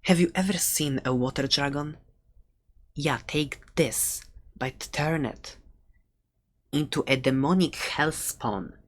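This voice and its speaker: A woman's voice: sits low, takes it slowly, pauses often. A woman playing a chatty, quirky dragon egg seller in an ASMR roleplay, talking in a hesitant, playful, conversational way close to the microphone. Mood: mock-serious. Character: chatty, quirky seller of dragon eggs, fond of dangerous pets. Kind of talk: ASMR roleplay, casual rambling talk.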